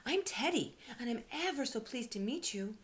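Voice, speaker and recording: Woman as an eager little teddy bear, higher-pitched. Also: expressive, normal speed, close to an everyday voice. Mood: eager, friendly. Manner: eager, polite. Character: cheerful, polite, curious young male toy teddy bear. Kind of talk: children's storybook character voice.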